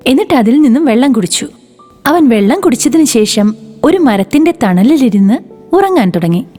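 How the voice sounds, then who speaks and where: Female storyteller for children's animation, mellow and animated in intonation, faint music bed. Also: high voice, moderate pace. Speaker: narrator. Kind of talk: scripted children's story narration.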